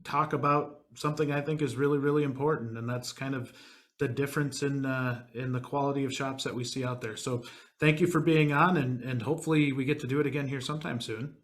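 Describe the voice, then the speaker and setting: Male podcast host, Wisconsin accent, easygoing, trips over his words, mid pitch. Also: steady tone. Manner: halting, many uh fillers, laid-back. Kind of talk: casual podcast chat.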